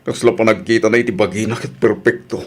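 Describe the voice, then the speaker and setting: Radio drama: contrite husband, hesitant and pleading, rough husky low voice male actor. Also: steady tone, fast talker, natural voice. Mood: downcast, frustrated. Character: remorseful, pleading husband and troubled grown son.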